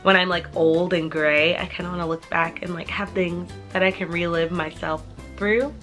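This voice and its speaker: A woman's voice: on the low side, fairly even intonation, moderate pace, music in the background. A woman in her twenties answering viewer questions to her camera in a casual, rambling way, full of "like" fillers. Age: 20s. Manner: chatty, rambling with many "like" fillers. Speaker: vlogger.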